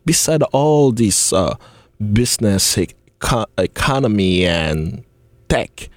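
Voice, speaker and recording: A man co-hosting a talk show, introducing a guest's background in a halting way with frequent fillers. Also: fairly low pitch, very animated, no echo. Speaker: co-host. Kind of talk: reading a guest bio.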